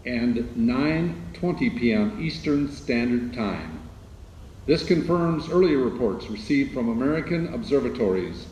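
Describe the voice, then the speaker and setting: A man playing a sober studio newsreader, giving formal, measured bulletins in old-time radio style. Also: mid-range voice, a little mellow, close to an everyday voice. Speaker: newsroom announcer. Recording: reverberant radio recording with faint noise.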